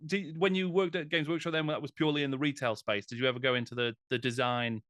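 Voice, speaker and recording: Laughing interviewer on a dry, close mic. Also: male voice, medium pitch, fairly even intonation, fast talker, fairly bright tone, dry recording. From England. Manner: friendly, laughs often, thinks aloud. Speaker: podcast host, interviewer. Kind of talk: casual conversational interview. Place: home room, indoors.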